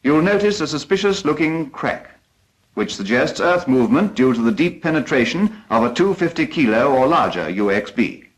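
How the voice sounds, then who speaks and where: A man's voice: mid-range voice, sing-song, normal speed, rough recording. A British man narrating a 1940s instructional film from a script in a formal, precise, instructive manner.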